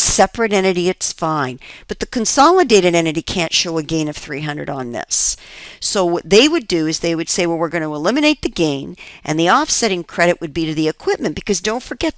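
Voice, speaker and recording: Woman, instructor, slightly low pitch, dry close sound, methodical lecture delivery, self-answered questions. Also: a bit bright, no echo. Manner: step-by-step, asks and answers her own questions. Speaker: accounting instructor. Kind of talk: explanatory lecture for an instructional video.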